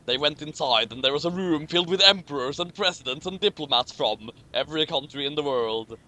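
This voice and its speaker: A man's voice: on the high side, lively intonation, a little fast, bright, forceful tone. A man doing a casual, mocking read-aloud with commentary, sarcastic and tripping over his words as he reads. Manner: stumbles over words while reading. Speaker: co-host reading a story aloud with commentary.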